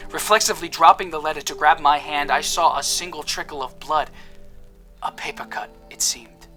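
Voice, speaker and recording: An actor playing a theatrical, grandiose quack doctor who lectures with old-fashioned airs in an audio drama. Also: male voice, fairly high pitch, bright, forceful tone. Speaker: shopkeeper healer.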